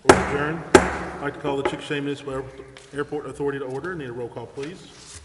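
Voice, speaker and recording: A man's voice: medium pitch, fairly even intonation, fast. A man presiding over a city council meeting, speaking in formal procedural style in a council chamber. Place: large indoor council chamber. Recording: echoey council chamber with clear background noise.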